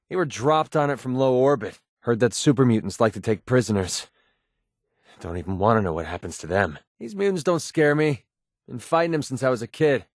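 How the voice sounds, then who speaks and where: A man plays a young American gun-for-hire in a video game. He is a streetwise, cynical wisecracker who talks informally in a medium-pitched voice. The recording is dry and close, with no room sound. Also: dry recording, natural voice. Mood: wry, casual. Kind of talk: video game companion dialogue acting.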